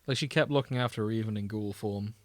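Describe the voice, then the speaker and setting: A man's voice: on the low side, fast, dry recording. Man bantering, close dry sound. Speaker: podcast host. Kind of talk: casual banter about fan fiction, reading excerpts aloud.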